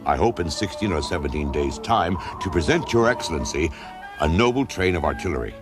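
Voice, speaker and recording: A man's voice: low-pitched, big pitch swings, fairly quick, over music. An actor playing a proud, determined heavyset officer, declaiming his formal letter as a dramatic historical reading. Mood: proud resolve. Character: Henry Knox, proud, determined heavyset bookseller turned officer.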